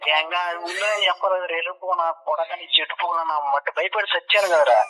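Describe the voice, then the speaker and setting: A man's voice: a little high, steady tone, fairly quick, sharp tone, faint music behind. A man chatting casually over the phone in a blunt, scolding way. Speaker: prank call recipient, addressed as elder brother. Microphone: heard over a phone call. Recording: dull lo-fi phone call audio.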